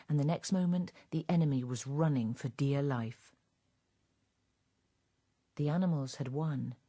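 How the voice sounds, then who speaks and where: Low, mellow woman narrator. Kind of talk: scripted radio drama narration.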